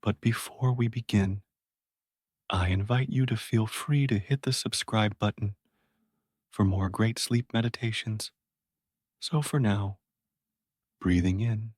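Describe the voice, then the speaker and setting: A man speaking slowly and softly as he guides a sleep meditation, with long soothing pauses. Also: fairly low pitch, breathy edge, no echo, studio quality.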